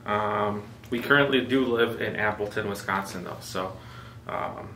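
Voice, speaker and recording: A young man in his twenties from Mexico talks through a casual vlog Q&A, easygoing and heavy on "um"s. His fairly low voice has a bright edge. He sits back from the mic in a roomy space with a faint hiss behind him. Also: a little slow, far from the mic. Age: 20s. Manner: relaxed, filler-heavy, joking. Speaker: vlogger co-host. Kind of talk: casual chat.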